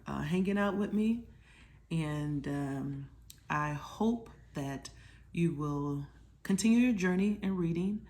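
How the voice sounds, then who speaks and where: A woman with a slightly low voice leads an informal lesson for children. She explains things in simple, conversational language. Like a teacher, she often poses questions and checks that her young listeners understand. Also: darkish tone. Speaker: children's storytime host.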